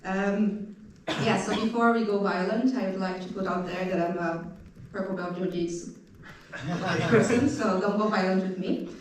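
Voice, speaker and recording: A woman lecturer giving panel remarks, lively and chatty, with a light joking touch. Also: mid-range voice, moderate pace, a little hiss or hum. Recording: very echoey room, distant mic.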